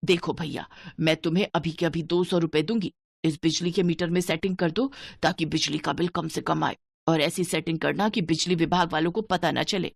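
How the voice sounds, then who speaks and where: A woman's voice: low-pitched, fairly even intonation, normal speed, vocal fry, hardly any pauses, dry recording. A woman voicing a stingy, scolding older mother-in-law in a cartoon story. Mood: scheming. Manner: bossy, penny-pinching, sharp-tongued.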